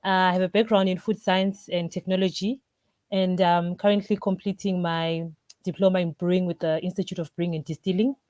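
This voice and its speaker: Female interview guest, Zimbabwean accent, fairly low and mellow, casual conversational delivery. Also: fairly even intonation, a little fast, no room sound. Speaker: interview guest, craft brewer.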